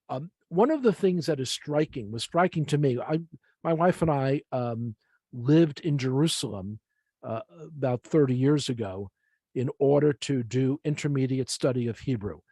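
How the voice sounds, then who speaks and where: A mature American man gives an informal Bible lecture. His voice is mellow and relaxed, recorded close and dry, and he explains each point deliberately, repeating key phrases. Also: mid-range voice, no room sound. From United States. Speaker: Bible teacher. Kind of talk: informal teaching lecture with slides.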